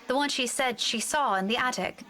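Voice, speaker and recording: High, insistent young woman. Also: a little fast, pushed and bright, slight background noise. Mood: uneasy, earnest. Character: worried, insistent young woman in a horror drama. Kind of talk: visual novel game voice acting.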